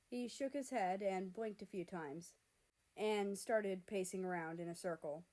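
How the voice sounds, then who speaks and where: A woman reading fan fiction aloud as an expressive storyteller. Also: mid-range voice, measured pace.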